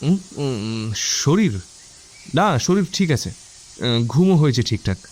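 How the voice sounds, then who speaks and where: A man's voice: mid-range voice, very animated, slow pace, darkish tone. Hesitant, troubled young man. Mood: uneasy, evasive. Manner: hesitant, murmuring, evasive. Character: troubled, withdrawn young man hiding his worries. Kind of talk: Bengali mystery audio drama acting.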